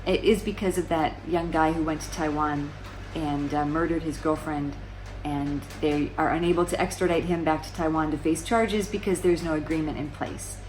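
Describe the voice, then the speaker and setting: Woman in her 40s on a sofa talking to camera, low voice, bit of faint hiss. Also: steady tone, moderate pace, hardly any pauses. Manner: conversational, many restarts, rhetorical questions. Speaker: vlogger. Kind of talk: casual explainer monologue. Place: small room indoors, seated on a sofa.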